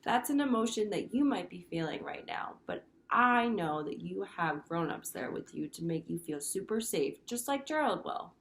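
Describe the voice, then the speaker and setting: A woman teacher reading a picture book aloud to children, expressive and pausing to explain things simply. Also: fairly low pitch. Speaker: primary school teacher. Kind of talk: read-aloud to children with commentary.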